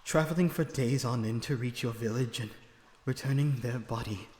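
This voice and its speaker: A man playing a lonesome captive vampire in an audio roleplay, weary, bitter and sardonic, with measured dramatic phrasing. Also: mid-range voice, darkish tone, close to an everyday voice. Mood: weary frustration. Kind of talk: ASMR audio roleplay acting. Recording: echoey reverberant room with background noise.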